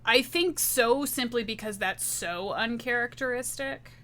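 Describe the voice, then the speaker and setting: Bright-voiced woman, slow deliberate player. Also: on the high side, a little hiss or hum. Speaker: tabletop game player. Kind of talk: narrating her character's actions in a roleplaying game.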